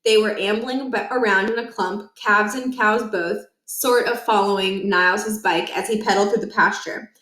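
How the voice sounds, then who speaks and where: A woman's voice: medium pitch, normal speed, hardly any pauses. Woman reading aloud in an echoey room. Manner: expressive, dramatizes the story. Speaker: storybook reader. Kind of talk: children's read-aloud narration. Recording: roomy, echoing indoor recording.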